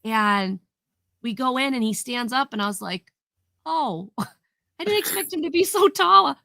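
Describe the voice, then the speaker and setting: Woman with a head cold, gravelly voice. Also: high-pitched, expressive, measured pace, pushed and bright, no echo, studio quality. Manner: chatty and upbeat, laughs while telling stories. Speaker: co-host guest. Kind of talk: casual chat, telling a travel story.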